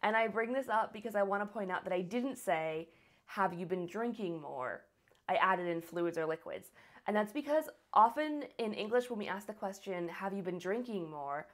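A woman in her thirties from the United States, a nurse practitioner teaching medical English phrases in a scripted online lesson, saying each model sentence slowly and deliberately. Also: mid-range voice, lively intonation. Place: small home office with a green wall.

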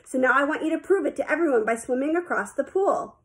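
Woman as an encouraging, high-voiced male swim teacher. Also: lively intonation, fast talker, fairly bright tone. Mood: coaxing. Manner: urging, coaxing, emphatic.

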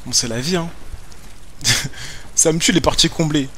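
A man's voice: a little high, normal speed, a bit bright, a little hiss or hum. A young man streaming a game from home, chatting with viewers in slangy, joking banter. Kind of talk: casual gaming commentary. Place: home room, webcam.

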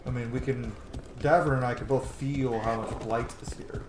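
A man's voice: medium pitch, steady tone, moderate pace, background noise. A man in his thirties giving casual commentary while he plays a video game in a small room. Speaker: gamer, streamer. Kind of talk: casual gameplay commentary in roleplay. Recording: echoey room, loud game music behind. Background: loud game music.

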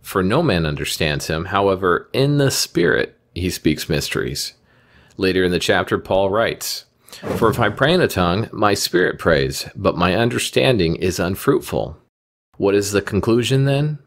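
A man's voice: deep voice, animated, moderate pace, fairly bright tone. A man hosting a commentary video, speaking in a careful, methodical way and reading scripture aloud.

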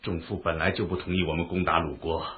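A man's voice: sits low, level tone, fast, natural voice. An actor playing a forgiving male ruler who reassures his general and takes the blame himself in a radio drama. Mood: reassuring. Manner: warmly reassuring, insistent. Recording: tinny phone-like audio.

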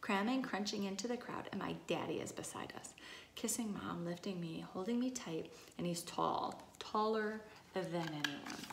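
A woman's voice: mid-range voice, normal speed. Woman reading a picture book, echoey room. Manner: expressive, acting out exclamations and dialogue. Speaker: storybook reader. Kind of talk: children's picture book read-aloud. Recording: echoey room, clear background noise.

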